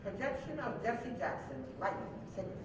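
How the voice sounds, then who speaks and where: A woman's voice: sing-song, brisk. A woman reading out stage directions at a live staged reading of a play. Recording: echoey hall, distant mic, noisy rumble.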